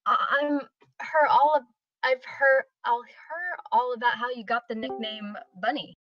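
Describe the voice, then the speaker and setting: A woman's voice: a little high, fairly even intonation, measured pace, dry recording, faint music behind, lightly acted. A woman playing a protective, teasing aunt at a screenplay table read. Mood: teasing, affectionate. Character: teasing, protective aunt who raised her niece. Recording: slightly dull, lo-fi microphone sound.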